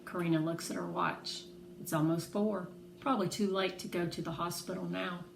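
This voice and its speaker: A woman reading a children's novel aloud in expressive storytelling narration. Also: lowish voice, moderate pace, gravelly. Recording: roomy echo, faint background noise.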